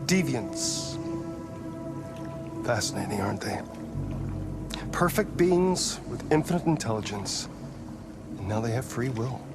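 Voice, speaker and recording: A silky, taunting genius inventor. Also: male voice, medium pitch, expressive, unhurried, pauses often, over music. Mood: musing. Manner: languid, philosophical, softly taunting. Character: aloof genius male inventor, calm and provocative. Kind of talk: video game cutscene acting.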